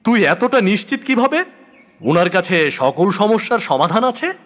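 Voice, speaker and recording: A man's voice: sits high, big pitch swings, moderate pace, fairly bright tone, voice pushed up. A man playing an anxious, irritable man who frets and complains to his friend in an audio horror story. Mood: doubtful. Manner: fretful, complaining.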